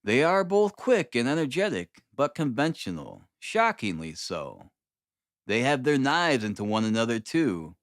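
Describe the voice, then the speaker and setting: A man voicing a brisk, dryly superior detective in an audiobook, calm and clipped in manner. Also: medium pitch, dry recording, studio quality. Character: brisk, dryly superior male detective, languid then energetic. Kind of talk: dramatised audiobook reading of Victorian detective fiction.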